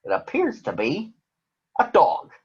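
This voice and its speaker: A man's voice: high voice, wildly swooping pitch, measured pace, gravelly, slightly rough, lo-fi sound, voice pushed up, heavily acted. A man voicing a pompous, jolly, eccentric detective in a theatrical way for a children's storybook. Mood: inspecting grandly. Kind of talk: children's storybook read-aloud.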